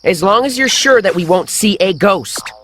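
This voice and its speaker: A man voicing a cautious, easily spooked boy sidekick in an animated storybook. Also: high voice, moderate pace. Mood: wary. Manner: hesitant, wary, easily spooked.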